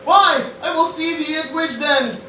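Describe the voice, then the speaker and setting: A man acts out an earnest teenage boy speaking mock-noble verse in a high, bright voice. It is an amateur radio play recorded in an echoey room. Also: a little slow, full character voice. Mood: startled, earnest. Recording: roomy, slightly distant, faintly noisy.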